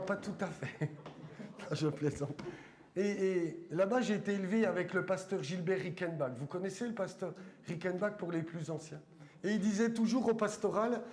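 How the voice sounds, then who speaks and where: A man's voice: high-pitched, darkish tone. A man from eastern France with an eastern French accent, preaching a sermon as a pastor in a didactic way, with conversational asides to the congregation. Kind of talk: sermon with scripture reading.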